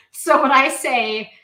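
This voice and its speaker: A woman's voice: sits high, sing-song, drawn-out words, pushed and bright. Giggly Midwestern woman in an echoey room. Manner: giggly, talks through laughs. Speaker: live stream host. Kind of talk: casual chat.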